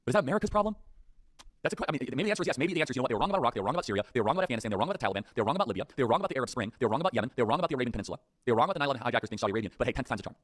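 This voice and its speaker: A man delivering a fast political talk-show monologue, emphatic and full of rhetorical questions. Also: mid-range voice, expressive, no echo, studio quality.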